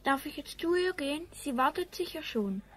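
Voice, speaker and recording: A woman's voice: high-pitched, animated, normal speed. A woman playing a trusting, obedient young boy with an innocent, earnest manner in a radio play. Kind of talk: 1970s German radio play acting.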